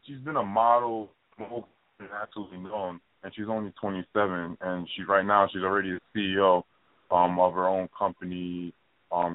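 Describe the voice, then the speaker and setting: A man's voice: low voice, no echo. A man hosting a casual interview show, rambling with lots of fillers and repeats. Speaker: podcast host. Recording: tinny phone line.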